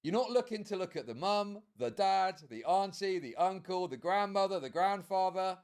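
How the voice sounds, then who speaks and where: Guy doing a drama commentary vid, talks quick, pitch bounces all over. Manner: brisk, talky, laughs at points. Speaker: commentary video narrator.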